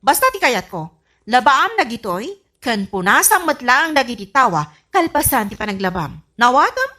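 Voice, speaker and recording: A woman's voice: on the high side, sing-song, normal speed, pushed and bright, full character voice. A woman acting a strict, irritable wife and mistress of the house in an Ilocano radio comedy-drama, with an Ilocano Filipino accent and a scolding, imperious manner. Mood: bossy, irritated. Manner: imperious, scolding, easily exasperated. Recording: music under the voice.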